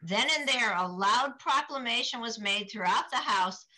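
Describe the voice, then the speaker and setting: A woman's voice: a little high, expressive, normal speed, bright, forceful tone, rough recording. A woman in her fifties playing a dutiful, distressed young betrothed daughter, earnest and formal, in a play read over video call. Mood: troubled, earnest. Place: small home room, video call.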